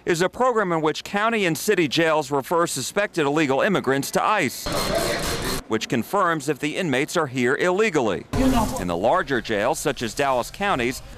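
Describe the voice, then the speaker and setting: Lively high-pitched man reporting TV news. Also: normal speed. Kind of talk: scripted news narration.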